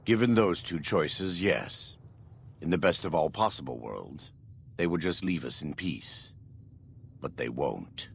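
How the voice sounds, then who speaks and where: Very deep, gravelly righteous warrior preacher. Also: male voice, lively intonation, a little hiss or hum, full character voice. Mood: solemn, resolute. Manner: solemn, measured, preacherly. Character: devout, vengeful former warlord, grave and righteous man. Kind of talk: video game dramatic dialogue.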